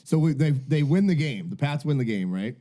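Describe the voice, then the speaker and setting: A man's voice: fairly high pitch, expressive, a little fast, warm tone. A man, co-hosting a podcast, rambling through a story with lots of fillers and swearing. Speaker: podcast co-host. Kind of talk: casual chat.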